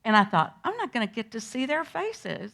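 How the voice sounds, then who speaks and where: An older woman guest, telling a vivid personal story to schoolchildren in an animated way. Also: a little high, normal speed, gravelly. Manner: lively, animated storyteller who acts out moments. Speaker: interview guest recalling a historic day.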